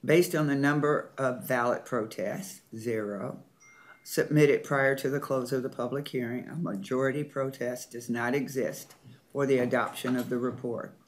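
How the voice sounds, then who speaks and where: A man's voice: medium pitch, steady tone, moderate pace, poor audio. A man serving as board president, reading agenda items and calling motions and votes in a formal meeting. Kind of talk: formal procedural meeting chairing.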